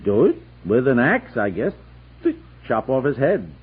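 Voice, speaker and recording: An actor playing a sardonic, put-upon American husband in a 1960s radio comedy, grumbling drily. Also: male voice, medium pitch, very animated, unhurried, husky, phone-quality sound, heavily acted. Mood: reluctant, grumbling. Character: exasperated, sardonic suburban husband and father.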